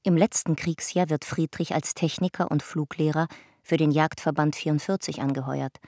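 An actress narrating a radio drama family chronicle in a detached, clipped, documentary manner. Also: female voice, sits low, fairly even intonation, normal speed, warm tone, no room sound, clean studio sound. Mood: detached, sober. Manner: matter-of-fact, clipped, documentary. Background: faint music.

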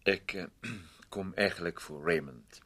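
An actor in a radio play voicing an ingratiating, meddling gentleman neighbour who wheedles and repeats himself with fussy politeness. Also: sits low, measured pace.

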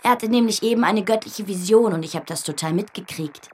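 A woman's voice: mid-range voice, fairly quick, a little mellow, breathy edge, natural voice. A woman playing a bold, curious, fanciful girl in a radio play, speaking earnestly and insistently. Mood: grave, threatening. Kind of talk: German radio play acting.

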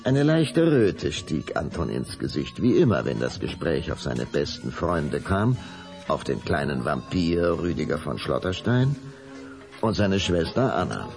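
Deep, gravelly, slow-paced male storyteller. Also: big pitch swings, a little mellow, soft background music. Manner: dramatic storytelling with suspenseful pauses. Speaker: narrator. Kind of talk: scripted audio drama narration for children.

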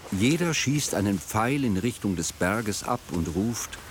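A man's voice: fairly low pitch, lively intonation, measured pace, slight background noise. A man reading scripted narration for a radio drama.

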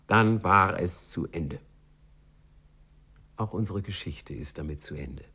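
An actor as a wry, knowing narrator, telling the story in a measured literary manner in a 1950s radio play. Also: male voice, deep voice, pushed and bright, husky, full character voice. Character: wry, knowing male storyteller addressing listeners. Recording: 1950s radio recording with music.

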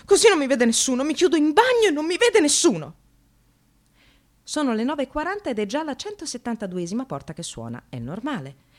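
An actress playing an exasperated, bitterly sarcastic receptionist in a dramatic radio monologue, shifting between muttering and outbursts. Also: female voice, medium pitch, lightly acted. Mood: tearful despair, then sardonic.

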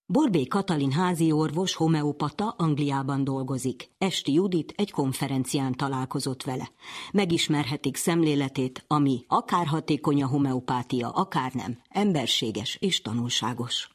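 A woman's voice: low voice, husky. A woman presenting a radio science programme, introducing guests and putting questions to them. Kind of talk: scripted presenting and interview questions.

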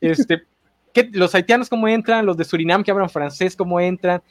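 A man's voice: high-pitched, no echo, clean studio sound. An opinionated man, ranting sardonically on a podcast panel. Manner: opinionated, sardonic, quick rants. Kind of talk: casual panel chat.

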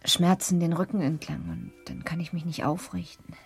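A woman in a radio play acting a young wife who coyly and evasively feigns pain, with a breathy, hesitant, plaintive delivery. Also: on the low side, very animated, normal speed, faint music behind.

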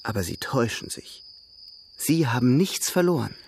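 An actor playing an urbane young brother in a radio drama, persuading in a courtly, playful, eager way. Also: male voice, mid-range voice, expressive, normal speed, darkish tone, a little hiss or hum, close to an everyday voice. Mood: eager, persuasive.

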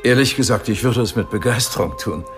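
An actor playing an erudite philosophy professor in a radio drama, donnish, deliberate and wryly amused. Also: male voice, lowish voice, music in the background, close to an everyday voice. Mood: fascinated. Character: erudite, fascinated male Cambridge philosophy professor.

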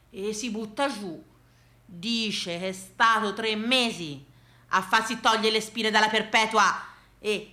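A woman with a Tuscan accent telling a comic folk tale as a theatrical monologue, colloquial and expressive. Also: on the high side, echoey room. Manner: colloquial, expressive, acts out characters' lines. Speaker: storyteller, solo theatre performer.

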